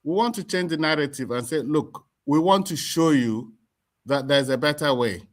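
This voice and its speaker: A middle-aged Nigerian man with a Nigerian accent, speaking as an interview guest in a deliberate, emphatic way, repeating words as he forms his points. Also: a little high. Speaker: community association chairman, interview guest. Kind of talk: explanatory interview talk.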